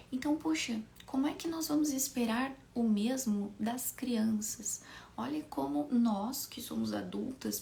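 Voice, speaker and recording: High, creaky-voiced Brazilian woman, slightly hoarse. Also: a little mellow, slight background noise. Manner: conversational, explanatory, frequent tag questions. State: hoarse from a cold. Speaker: teacher of a live online class for mothers. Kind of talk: informal live lesson with rhetorical questions.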